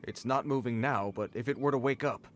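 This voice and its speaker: An actor voices a male soldier on patrol in a video game, mid-pitched and formal. He is cautious and dutiful yet slightly awkward, with faint ambient noise behind him. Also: brisk, natural voice. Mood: stern warning. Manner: formal, hesitant.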